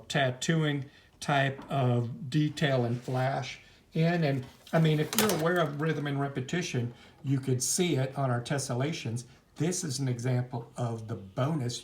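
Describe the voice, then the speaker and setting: A man teaching art in an informal, chatty, rambling hands-on demonstration, easily drifting into asides. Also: mid-range voice. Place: at home, indoors. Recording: noisy home recording, clicks.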